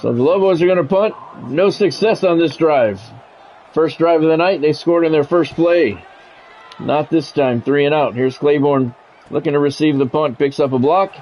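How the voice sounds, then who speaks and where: A man's voice: a little high, normal speed, darkish tone, poor audio. A man works as a football play-by-play announcer. He calls the action in short, clipped phrases. There is faint noise behind him. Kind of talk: American football game commentary.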